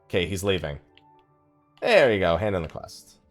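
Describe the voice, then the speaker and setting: A man giving casual let's play commentary, rambling and thinking out loud with mild exasperation. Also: low voice, big pitch swings, moderate pace. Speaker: gaming video host. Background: game music.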